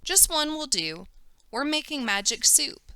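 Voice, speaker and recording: A woman's voice: high-pitched, sing-song, a little slow, bright, forceful tone, no echo, pitched above the performer's own voice, heavily acted. A woman voicing an earnest, polite young schoolgirl in a storybook reading. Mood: eager.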